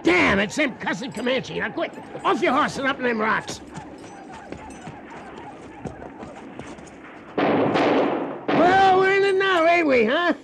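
An actor playing a rough, loyal cowhand with an in a radio drama. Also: male voice, very high voice, very animated, a little slow, background noise, full character voice. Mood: urgent, shouting. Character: rough, loyal cowhand scout, plain-spoken and wary. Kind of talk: western radio drama acting.

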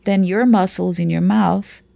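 Gravelly-voiced woman, native portuguese speaker. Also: lowish voice, fairly even intonation, measured pace, dark tone, dry recording. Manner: explanatory, frequent "right?" tags. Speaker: teacher, guest. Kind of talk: conversational interview.

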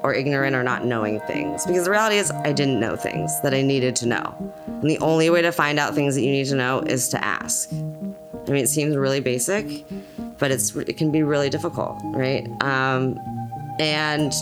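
A young woman speaks as a podcast guest in someone's home, her voice extremely low for a woman. She talks candidly and thoughtfully, with easy laughs. Faint music sits quietly in the background. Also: normal speed. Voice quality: low, husky-sounding voice. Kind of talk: casual chat.

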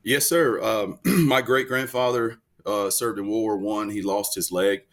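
A man's voice: on the low side, fairly even intonation, a little slow, fairly bright tone. A man in his forties from North Louisiana, a veteran guest telling detailed stories in a casual interview, earnest and full of fillers. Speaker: guest, military veteran and country singer.

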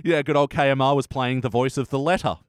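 Dude hosting a podcast, cracking dry little jokes, mid voice, no room sound. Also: male voice, medium pitch, fast, smooth, no echo. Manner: wry, joking asides. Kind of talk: casual chat.

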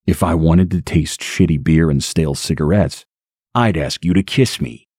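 A man voicing a cruel, foul-mouthed baby who speaks slowly in a deep grown man's voice. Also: dark tone, husky, dry recording, studio quality, exaggerated voice. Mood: sneering. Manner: slow, sneering, cruel.

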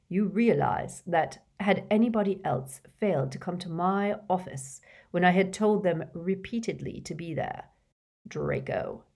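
A woman voicing a cold, menacing male schoolmaster who speaks low, quiet and curt. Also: vocal fry, exaggerated voice.

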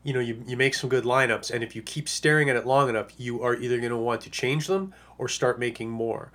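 Hoarse man rambling about fantasy picks. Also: medium pitch, brisk, fairly bright tone. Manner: rambling, lots of uh and you know. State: hoarse, losing his voice. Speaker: fantasy football analyst. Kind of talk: casual podcast monologue.

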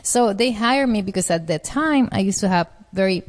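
Middle-aged female guest from Puerto Rico, physician, easy laughs, mid pitch, reflective. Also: expressive, moderate pace. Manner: warm, laughing, repeats words as she thinks. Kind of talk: interview answers.

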